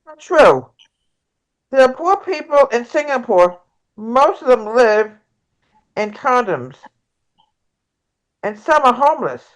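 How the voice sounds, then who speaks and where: A woman playing a progressive, combative presidential candidate in an amateur table read, reading haltingly with stumbles. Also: fairly high pitch, sing-song, rough recording. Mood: indignant.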